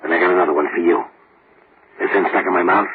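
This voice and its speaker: An actor playing a streetwise death-row convict who talks in tough slang, in old radio drama style. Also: male voice, sits low, steady tone, quick pace, lightly acted. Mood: scheming. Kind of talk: 1940s radio acting. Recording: tinny hissy old radio broadcast.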